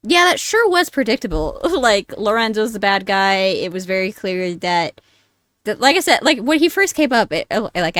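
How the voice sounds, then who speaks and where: Animated young woman streamer, dry close mic. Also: medium pitch, dry recording. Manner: informal, giggly, expressive. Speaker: game streamer. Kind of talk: casual live playthrough commentary.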